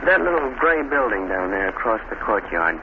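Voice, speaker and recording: An actor playing a timid, naive out-of-town visitor, hesitant and stammering, in 1930s radio drama style. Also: male voice, on the low side, sing-song, moderate pace. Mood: impressed, curious. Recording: tinny, noisy 1930s radio recording.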